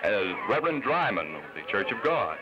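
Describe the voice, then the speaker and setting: Deep-voiced 1950s American TV host, dull sound. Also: male voice, very animated, normal speed. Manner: courteous, formal. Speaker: television host. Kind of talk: live outdoor interviewing and announcing. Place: outdoor park gathering.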